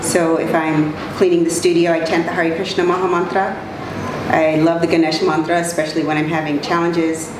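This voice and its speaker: A woman from Nigeria and the United States talks in a calm, measured way. Her voice is fairly low and stays even. She is heard from a distance in an echoey room under a loud hum. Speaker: class participant.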